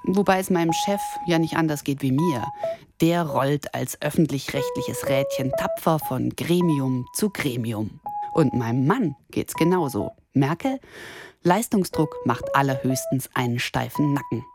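A woman's voice: very deep voice, expressive, measured pace, music in the background. A woman of thirty-nine delivering an ironic, self-mocking scripted radio monologue in the first person. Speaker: radio columnist and presenter.

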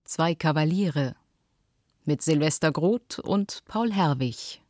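A woman announcer reads titles in a low voice at a slow pace. The recording is dry and the delivery is plainly scripted. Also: expressive, a little mellow, no echo.

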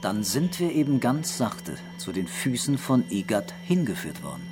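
An actor playing a softly stubborn, intuitive police commissioner in a German radio crime drama, insistent yet understated. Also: male voice, medium pitch, big pitch swings, normal speed, over music, lightly acted. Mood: quietly triumphant.

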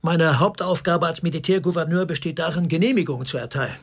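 An actor playing a defensive, tormented army officer under interrogation in a German radio crime drama, with a husky, gravelly voice. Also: male voice, on the high side, fast talker. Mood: bitter, troubled. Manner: agitated, swinging between indignant outbursts and subdued confession. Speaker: suspect, military governor.

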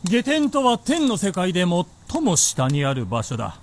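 A man's voice: high voice, huge pitch swings, moderate pace, a little hiss or hum, heavily acted. A man playing an imperious, proud warlord with haughty, archaic lordly speech in a period radio drama.

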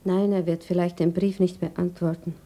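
A woman's voice: fairly low pitch, level tone, moderate pace, warm tone. An actress playing a naive, troubled provincial woman, earnest and yearning, in an old radio drama. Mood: anxious, yearning. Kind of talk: 1960s radio drama acting. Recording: muffled vintage radio play recording.

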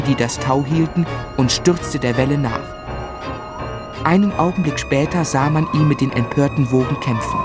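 A male actor plays a grown man recalling a tragedy in a radio drama. His mid-pitched voice is grave, shaken and measured, with a literary, dramatic delivery. Music runs clearly underneath. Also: natural voice. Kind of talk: scripted literary narration in a radio play.

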